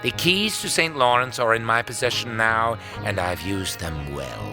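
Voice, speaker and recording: An actor playing a sadistic, gloating villain in an audio drama, smug, taunting and menacing. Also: male voice, on the low side, animated, normal speed. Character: sadistic, gloating male villain holding captives. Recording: music under the voice. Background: dramatic background music.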